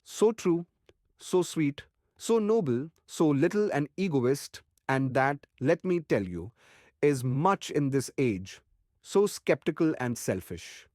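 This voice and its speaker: An actor playing a kindly, courtly old doctor in an audiobook, speaking formally and earnestly. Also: male voice, a little high, big pitch swings, slow, darkish tone, dry recording, studio quality. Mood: reverent praise. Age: older. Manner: earnest, courtly, formal, quaint foreign phrasing. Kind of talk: audiobook character acting.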